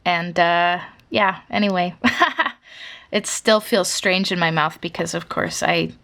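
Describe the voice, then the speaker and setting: A woman's voice: fairly low pitch, fairly even intonation, unhurried, creaky, a bit bright. A young woman from Canada chatting casually through a sketchbook flip-through, rambling with lots of fillers. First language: English. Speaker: artist showing her sketchbook.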